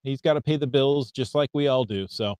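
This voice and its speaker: An American man co-hosts a relaxed hobby podcast with an upbeat, chatty delivery. He reads listener mail aloud, trips over foreign names, and talks in an ordinary middle register. The sound is dry and close, with no room around it. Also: medium pitch, brisk, clean tone, dry recording. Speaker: podcast co-host. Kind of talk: casual podcast chat.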